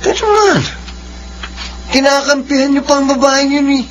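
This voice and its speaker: High, pleading young Filipino husband. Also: male voice, moderate pace, fairly bright tone, a little hiss or hum, rough recording. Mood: defensive. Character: defensive, irresponsible young husband, pleading and remorseful. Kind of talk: Filipino radio drama acting. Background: prominent dramatic music.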